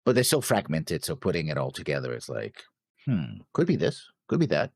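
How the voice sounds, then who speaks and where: A deep-voiced man with a husky edge thinks aloud in a casual, rambling co-host chat. His pitch moves around in a very lively way, and the sound is close and dry. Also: a little fast, no room sound. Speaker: co-host of an anime reaction show.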